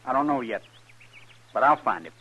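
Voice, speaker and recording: An actor with an American accent playing a stubborn, defiant young gunslinger in a 1950s radio western, terse and folksy. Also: male voice, mid-range voice, lively intonation, normal speed, natural voice. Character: brash, stubborn young male gunslinger seeking revenge. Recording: muffled old radio recording.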